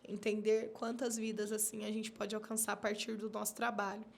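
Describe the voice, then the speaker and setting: A woman with a Minas Gerais accent hosting a casual podcast chat, lively and expressive. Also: medium pitch, fairly quick, creaky. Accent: Minas Gerais Brazilian Portuguese. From born in São Paulo, raised in Minas Gerais. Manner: lively, expressive, playful asides. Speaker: podcast host.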